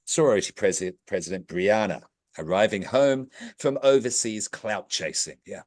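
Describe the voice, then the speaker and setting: A man's voice: lowish voice, normal speed, darkish tone, dry recording, clean studio sound. A man hosting a podcast, recapping a movie plot in casual chat with playful, jokey asides. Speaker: podcast host.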